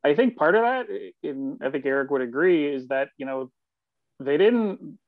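A man's voice: a little high, animated, normal speed, no echo. A man in his forties giving his opinion in a casual sports discussion over a video call. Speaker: sports columnist, podcast guest. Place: indoor home room, video call. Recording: dull lo-fi video call audio.

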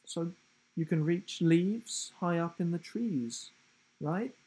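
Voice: medium pitch, takes it slowly, dark tone, voice pushed up, close to an everyday voice, poor audio. A storyteller voicing a bewildered young schoolboy who stammers and sounds unsure. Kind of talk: storybook character voice.

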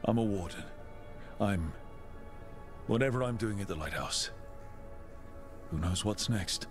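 A man playing a calm, caring warrior hero in a fantasy video game, speaking in a measured, sincere way. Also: on the low side, over music, natural voice. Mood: concerned, reflective. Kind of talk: video game cutscene acting.

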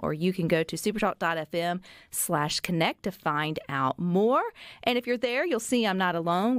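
A woman's voice: fairly low pitch, dry recording. A woman hosting a radio talk show, chatty and teasing with her guest. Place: radio studio. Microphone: studio mic with headphones. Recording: dry close studio sound.

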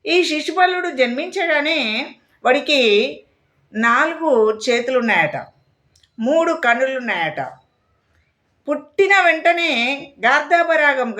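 A woman's voice: a little high, fairly bright tone. A woman storyteller telling a moral tale expressively, speaking directly to her listeners.

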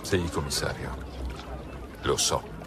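A man's voice: slow pace, heavy background noise, natural voice. An actor playing a courteous male public prosecutor in a radio drama, speaking expressively. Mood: troubled, confiding. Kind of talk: Italian radio drama acting.

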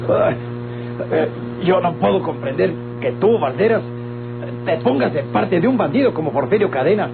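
A man's voice: high voice, lively intonation, normal speed, vocal fry. An actor playing a crafty old captive man in a Mexican radio drama, with a theatrical, pleading and protesting delivery and a Mexican accent. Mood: persuasive. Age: older. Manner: theatrical, groaning, begging and protesting. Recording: muffled old radio recording with loud hum.

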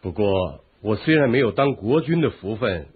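A cowardly, lecherous royal uncle in a radio drama. His voice is very husky and swings about as he frets and whines. The sound is thin and boxed-in, like an old phone line. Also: lowish voice, animated, moderate pace. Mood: sly, leering. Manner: fretful, whining, easily alarmed. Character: timid, lecherous royal uncle drawn into a plot. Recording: muffled, tinny phone-like radio drama recording.

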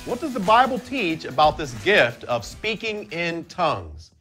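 A man teaching on a video, animated and expressive, in an indoor studio with a plain backdrop. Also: on the high side, unhurried, soft background music. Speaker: YouTube Bible teacher.

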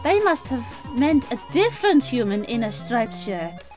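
A dainty spider monster lady from a video game, acted out by a man, high and unhurried. She is playful and teasing, with sweet menace under her swooping delivery. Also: sing-song, faint music behind, exaggerated voice. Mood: sweetly apologetic.

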